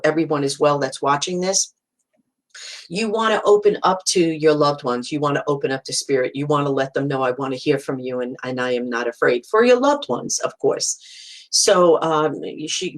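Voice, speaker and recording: A middle-aged woman from Brooklyn speaks in a low voice with a clear Brooklyn accent. She is hosting a casual live stream and reassures her viewers warmly. Her phrases often restart, and she fills them with "you know.". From Brooklyn, New York. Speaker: psychic medium hosting a live video.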